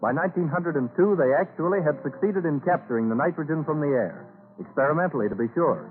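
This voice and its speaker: American man, radio announcer, slightly high voice, formal measured narration, 1930s style, thin lo-fi broadcast sound. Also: lively intonation, slight background noise. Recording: tinny old radio recording.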